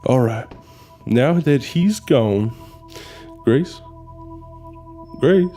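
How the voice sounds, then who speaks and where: An actor playing a deferential, secretive mortician in a radio drama, speaking with hesitant, deliberate politeness. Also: male voice, mid-range voice, animated, dark tone. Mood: gentle, solemn. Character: reserved male mortician, deferential, weary and secretive. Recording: music behind the voice.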